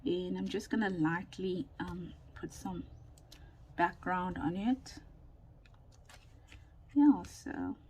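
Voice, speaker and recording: A woman recovering from a lost voice and a sore throat, chatting casually through a crafting tutorial with many pauses and ums, sometimes dropping to a whisper. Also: lowish voice, a little mellow, husky. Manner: slow, chatty, with frequent ums and laughs, drifting into whispers. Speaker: craft vlogger.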